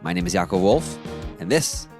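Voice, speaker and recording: A man's voice: sits low, big pitch swings, normal speed, loud music behind the voice. A man hosting a podcast, reading a polished, upbeat scripted intro before interviewing his guests. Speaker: podcast host.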